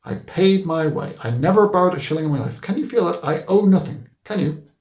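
Preachy old schoolmaster played by a male narrator: gleeful, emphatic, pitched up, roomy sound. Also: expressive, a little fast, a little mellow. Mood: proud, emphatic. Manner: emphatic, lecturing, rhetorical questions. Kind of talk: audiobook character voice.

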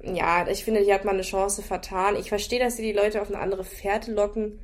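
A woman's voice: medium pitch, steady tone, moderate pace. Critical woman reviewing a series. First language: German. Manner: critical, thinks aloud with restarts. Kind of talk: casual review chat.

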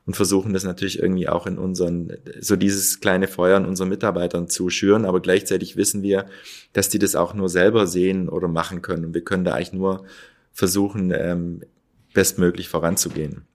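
A man's voice: sits low, little pitch movement, fairly quick. A man who founded a hotel, talking reflectively as an interview guest, searching for words with many fillers.

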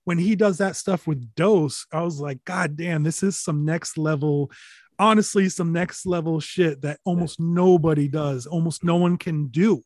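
A man with a fairly high voice recalls his work in a loose, rambling way. He stumbles over restarts and fillers and swears casually. The sound is close and dry, with no room around it. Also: moderate pace, darkish tone, no room sound. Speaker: interview guest, recording artist. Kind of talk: relaxed conversational storytelling.

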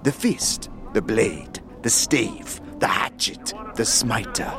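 A man's voice: lowish voice, expressive, slow pace, fairly bright tone. A man voicing a male warrior monk bound by a vow of peace, speaking stiffly and formally of himself as "this one". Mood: solemn. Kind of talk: tabletop audio drama.

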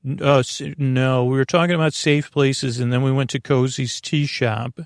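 A man hosting a bedtime podcast with a creaky voice, meandering hesitantly through a soothing monologue and often losing his thread. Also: mid-range voice, fairly even intonation, normal speed, no echo, studio quality. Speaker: host of a bedtime story podcast to help listeners sleep.

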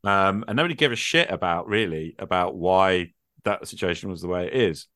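Low-voiced man, musing in casual conversation. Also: fairly quick, a bit bright, no echo, clean studio sound. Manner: musing, hesitant phrasing with rhetorical questions. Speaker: podcast speaker, tabletop gamer.

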